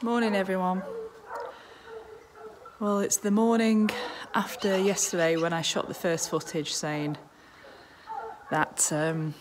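A woman's voice: mid-range voice, fairly even intonation, takes it slowly, pauses often, background noise. A woman, talking worriedly into a phone held at arm's length in a casual vlog. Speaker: vlogger. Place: outdoors in the countryside and indoors at home.

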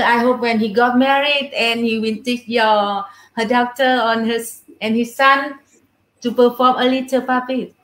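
A middle-aged Vietnamese-accented woman gives interview answers at a very slow pace. Her voice is fairly high, her phrasing simple and non-native, and she sounds echoey, as on a video call from a room. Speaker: guest, puppeteer. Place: small indoor room with puppets. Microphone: video call, device at a distance.

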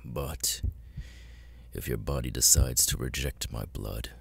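A man playing a cold, menacing, seductive demon lord in an ASMR audio roleplay, breathy and gravelly, often near a whisper, with slow deliberate pauses. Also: very deep voice, fairly bright tone, exaggerated voice. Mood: cold, sinister.

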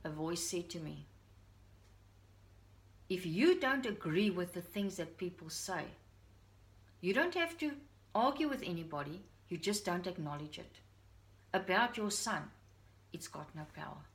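A woman's voice: on the low side, animated, unhurried, pauses often. A middle-aged woman with a Dutch accent speaks to the camera from her living room. She talks in an earnest, confiding way, sharing her thoughts as a parent vlogger. The voice is picked up by a camera a short way off. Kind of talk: personal talk to camera. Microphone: camera on a stand at a short distance.

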